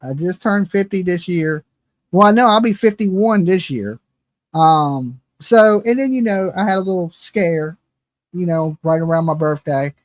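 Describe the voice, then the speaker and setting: A man's voice: high-pitched, a little slow, no room sound. A man in his fifties hosting a true crime channel, chatting casually and bluntly with lots of "right?" and "okay?". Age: 50s. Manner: rambling, blunt, self-mocking, frequent "right?" and "okay?". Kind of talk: casual livestream chat.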